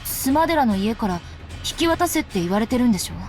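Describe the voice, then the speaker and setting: An actress playing a defiant, sarcastic teenage heiress in a visual novel, with a scornful, dry delivery. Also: female voice, a little high, very animated, rapid-fire, darkish tone, soft background music. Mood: sarcastic, wary. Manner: scornful, dry, self-possessed. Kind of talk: Japanese visual novel voice acting.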